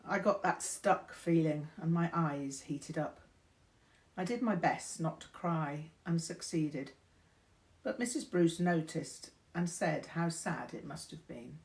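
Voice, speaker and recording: Slow-paced woman reading a memoir. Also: sits low, gravelly. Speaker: reader of a memoir. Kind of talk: audiobook-style narration of a memoir. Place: indoors, small room.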